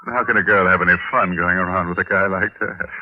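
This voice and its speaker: Muffled old radio recording, man acting a ghoulish horror host, deep voice, gleefully morbid, sardonic, theatrical 1940s delivery. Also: fairly even intonation, quick pace, a crowd in the background, natural voice. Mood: mock-solemn glee. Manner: sardonic, theatrical, macabre humour.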